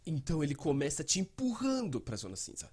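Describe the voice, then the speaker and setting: A man with a Brazilian accent and a husky voice, narrating a dubbed explainer in a conversational way. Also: a little high, huge pitch swings, a little fast, darkish tone. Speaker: host and fan dubber.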